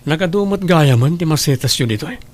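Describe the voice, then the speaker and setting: A man's voice: fairly high pitch, expressive, quick pace. A man with an Ilocano accent playing a caring, protective grown son in a family audio drama. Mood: caring, concerned. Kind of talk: Ilocano radio-style family drama acting.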